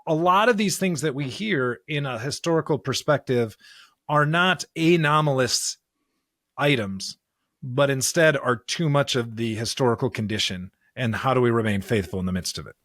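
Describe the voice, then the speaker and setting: A man with a mid-pitched voice co-hosts a conversational podcast about scripture. His delivery is earnest and emphatic, moving between loose talk and quoted passages. The recording is close and dry. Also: moderate pace, a bit bright, dry recording. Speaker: podcast co-host.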